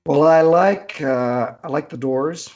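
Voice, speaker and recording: A man's voice: on the high side, takes it slowly, darkish tone, muffled recording, soft background music. An older man chatting casually as an author guest on a podcast, hesitant and rambling as he thinks aloud. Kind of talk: casual unscripted interview chat.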